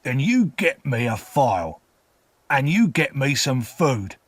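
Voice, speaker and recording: A man voicing a rough, menacing escaped convict in a storybook reading, growling and commanding. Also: medium pitch, very animated, no echo, heavily acted. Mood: slow, menacing. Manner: forceful, commanding, slow threats.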